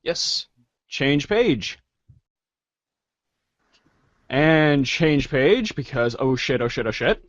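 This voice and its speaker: A man chimes in casually during a reading, irreverent and excitable. His pitch moves around a lot and his pace is very slow. The recording is dry and slightly dull. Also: dry recording. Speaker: page-turn caller and commenter in a reader's theater show.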